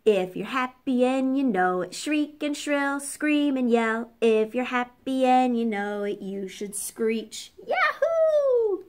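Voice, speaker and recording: Woman doing a very high, excitable screeching parrot. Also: takes it slowly, hardly any pauses, full character voice. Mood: excited, shrieking. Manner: shrieking, sing-song.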